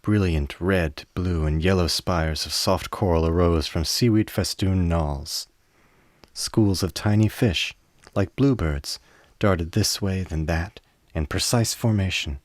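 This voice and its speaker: A man with a very low voice narrates a radio play. His storytelling is measured and scripted, recorded close in a dry space. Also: dry recording.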